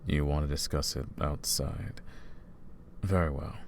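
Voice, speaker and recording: A man's voice: very deep voice, unhurried, vocal fry, a little hiss or hum, heavily acted. A man voicing a brooding vampire hunter. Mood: grave. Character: brooding male vampire who hunts vampires. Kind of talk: ASMR roleplay audio.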